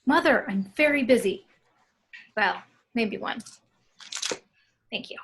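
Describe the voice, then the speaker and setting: A woman's voice: a little high, lively intonation, slow, slight background noise. A woman voicing an earnest, nerdy fourteen-year-old boy, a devoted sci-fi fan with fussy, formal phrasing, in a table read. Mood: fussy, busy.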